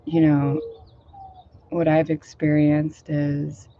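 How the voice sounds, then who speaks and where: A woman meditation teacher talks in a loose, rambling way full of fillers, her voice a little hoarse and low today. Her delivery is mellow and fairly flat. The recording is dull and lo-fi, made outdoors with faint noise behind her. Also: slow pace. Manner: rambling, filler-heavy, gentle. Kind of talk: casual talk leading a guided meditation.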